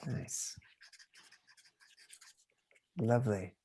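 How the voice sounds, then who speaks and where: A man's voice: medium pitch, dark tone, a little hiss or hum. A man from the United States presenting on a video call webinar, often stopping and repeating words as he talks. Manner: halting, frequent word repeats and restarts. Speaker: webinar facilitator.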